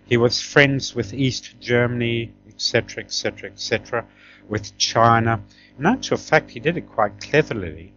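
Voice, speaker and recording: A man's voice: medium pitch, lively intonation, normal speed, a bit bright, muffled recording, slight background noise. A man from Tanzania, middle-aged, talking conversationally as an interview guest, emphatic and often checking for agreement as he reminisces.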